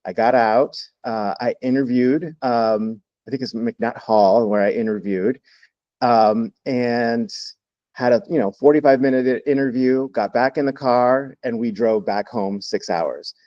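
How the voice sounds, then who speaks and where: A man in his fifties from Texas, a podcast guest telling his story in a relaxed, reflective way with many fillers. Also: mid-range voice, unhurried, no echo, dull audio. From Texas, United States. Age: 50s. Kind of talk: interview storytelling.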